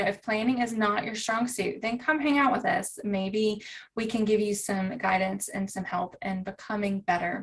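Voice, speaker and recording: Southern American woman chatting casually. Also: mid-range voice. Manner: informal, encouraging, folksy. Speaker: planner coach and community host. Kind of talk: casual chat to online viewers.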